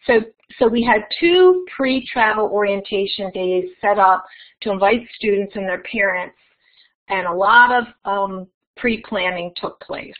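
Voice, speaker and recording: A woman giving a conference keynote as a webinar presentation, friendly and guiding the audience through an interactive activity. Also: mid-range voice. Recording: tinny phone-like webinar audio.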